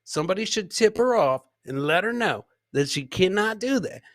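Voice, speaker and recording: A man hosting a live comedy podcast, casually reacting to funny clips in a rambling, jokey, animated way and repeating his catchphrases. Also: sits high, moderate pace, no echo, studio quality.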